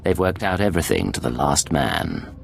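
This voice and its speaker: Super deep cool spy agent, clipped, voice moves around a lot, sci-fi game. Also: male voice, sing-song, moderate pace, gravelly, a little hiss or hum, exaggerated voice. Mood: cool, resolute. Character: cool, unflappable male Imperial secret agent. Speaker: player character in a sci-fi game. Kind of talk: dramatic game voice acting. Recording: dramatic music behind.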